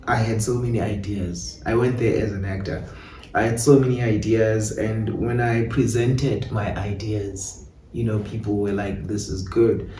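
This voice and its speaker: Casting: South African male theatre-maker, soft dark tone, reflective interview delivery, roomy off-mic sound. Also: on the low side, distant mic. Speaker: playwright and director being interviewed. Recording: echoey room, off-mic, faint noise.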